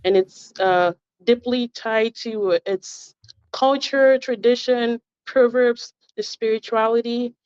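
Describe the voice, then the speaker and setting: A woman's voice: fairly high pitch, fairly even intonation, takes it slowly, a little mellow, smooth, dry recording. A woman, a language tutor answering interview questions earnestly and carefully, with frequent fillers. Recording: dull, muffled audio.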